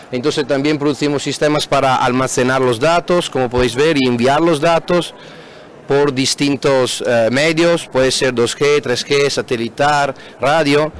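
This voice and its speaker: A man's voice: fairly high pitch, clean tone. A male engineer giving a product presentation in a webinar, hesitant with many fillers and restarts, speaking a language that is not his first. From Austria. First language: not Spanish.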